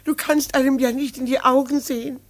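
Radio drama: middle-aged mother of a grown son, anxious, insistent, emotional, slightly high pitch. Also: steady tone, fairly quick. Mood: anxious pleading. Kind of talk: dramatic German radio play acting.

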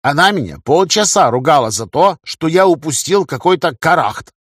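Man acting a young male fighter, chatty, boastful, colloquial, raised pitch, audiobook dialogue, dry studio sound. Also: big pitch swings, moderate pace, dry recording. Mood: complaining. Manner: colloquial, ends phrases with a verbal tic.

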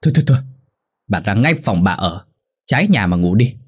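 Henpecked grown son for an audio drama, man acting: husky, short-tempered, snapping delivery. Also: fairly low pitch, expressive, moderate pace, dark tone, no echo, studio quality. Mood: angry. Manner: snapping, growling.

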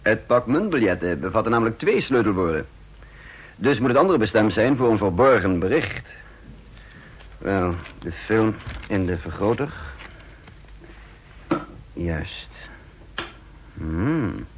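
Low-voiced confident secret agent. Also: male voice, sing-song, slow pace, long pauses, lo-fi sound. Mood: brisk, satisfied. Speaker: actor in a radio play. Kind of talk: Dutch radio drama acting and narration. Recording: noisy vintage radio recording.

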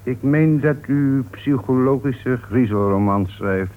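An actor playing a composed, dryly polite police inspector in a radio play, measured and insistent in his questioning. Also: medium pitch, natural voice. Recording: tinny, noisy old radio play recording.

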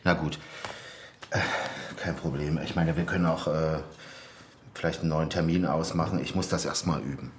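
An actor playing a flustered conservative male politician in a radio drama, speaking haltingly with muttered hesitations. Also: rumbling low, fairly even intonation, slight background noise. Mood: flustered, unsure. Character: flustered, conservative older male politician, anti-drug hardliner.

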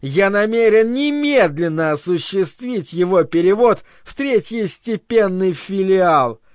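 A man's voice: sits high, dry recording. Man acting a pompous, indignant male director. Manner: majestic, pompous, severe.

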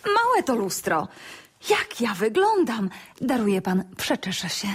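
A vain society lady in a period comedy radio play. Her voice is high and slightly husky, swooping with lively intonation. She is chatty, affected and coquettish, gushing like someone obsessed with fashion. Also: slow pace, full character voice. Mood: vain, fussy.

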